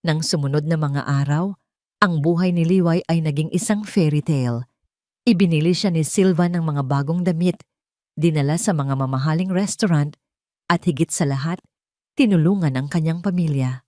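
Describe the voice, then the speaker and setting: Low-voiced woman narrating a story. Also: normal speed, mellow, no echo, clean studio sound. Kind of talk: scripted audio drama narration.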